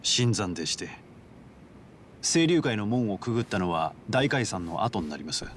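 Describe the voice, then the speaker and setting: Game gangster executive: lowish, measured, formal, coolly calculating, faint rumble behind. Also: male voice, big pitch swings. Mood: composed, deferential. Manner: formal, courteous, measured business-like speech. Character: polite, calculating male yakuza underboss, coolly composed. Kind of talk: dramatic video game voice acting.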